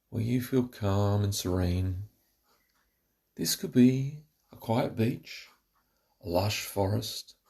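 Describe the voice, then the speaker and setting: Male relaxation narrator, lowish and extremely gravelly, giving soothing, measured instructions from a script. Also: darkish tone. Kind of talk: scripted guided relaxation meditation.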